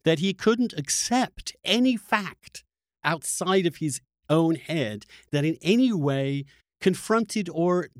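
A man's voice: high voice, no echo, studio quality. A man hosting a spontaneous political debate, combative and insistent, stressing his points and stammering into them. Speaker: podcast host.

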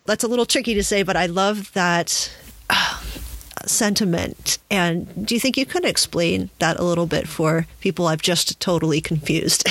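A woman's voice: fairly low pitch, moderate pace, fairly bright tone, slight background noise. A woman hosting a podcast in a casual, conversational interview style, chuckling often as she talks. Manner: chuckles often while talking. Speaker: podcast host.

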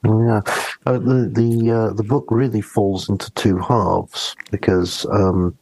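A man co-hosting a podcast in casual discussion, speaking haltingly as he searches for his words. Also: lowish voice, fairly even intonation, a little mellow, husky, no echo, rough recording. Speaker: podcast co-host.

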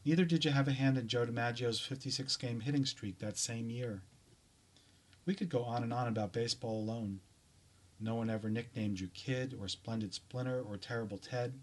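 A man's voice: medium pitch, fairly even intonation, moderate pace. Man reading literary short fiction. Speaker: author reading own short story. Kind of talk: scripted literary narration, second-person address.

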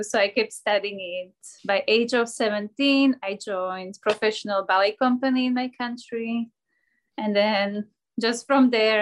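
Cheerful woman dancer. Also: medium pitch. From Slovakia. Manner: cheerful, laughs often, drops articles. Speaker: ballet dancer and personal trainer. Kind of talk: casual interview answers.

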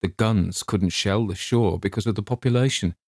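A man's voice: on the low side, normal speed, no echo. A man reading scripted story narration as an audiobook narrator, recorded close.

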